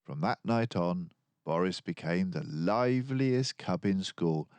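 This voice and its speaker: A man reads a children's story in an animated, theatrical way. His delivery is unhurried, with a pitch that moves around a great deal. The sound is dry, with very little room. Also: clean tone, dry recording. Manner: animated, acts out dialogue. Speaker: children's book reader. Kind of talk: expressive storybook read-aloud.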